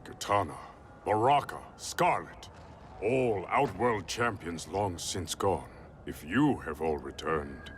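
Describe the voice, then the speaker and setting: A man's voice: sits low, lively intonation, slow pace, a little hiss or hum. A man playing a proud, dignified warrior king in a cinematic video game, speaking formally and with regal firmness. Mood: wary.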